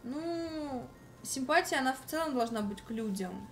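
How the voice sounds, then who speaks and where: A woman's voice: high-pitched, expressive, normal speed, soft background music. A young woman co-hosting a stream from a living room, reading chat and reacting in an upbeat way. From Russia. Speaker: stream co-host, reads chat. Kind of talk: casual chat.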